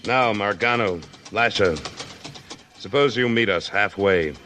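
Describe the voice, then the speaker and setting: An American actor playing a steady, commanding frontier hero in a 1950s radio western, firm and deliberate. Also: male voice, fairly low pitch, faint music behind. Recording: old radio recording with slight noise.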